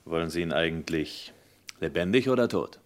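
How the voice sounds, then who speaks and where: A man's voice: low-pitched, lively intonation, normal speed. An actor playing a dutiful male police lieutenant who speaks deferentially to his boss in a radio crime play.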